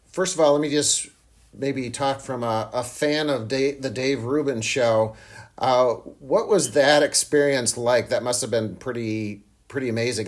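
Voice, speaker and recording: An American man hosting an interview podcast, chatty and friendly with lots of fillers. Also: mid-range voice. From United States. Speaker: podcast host.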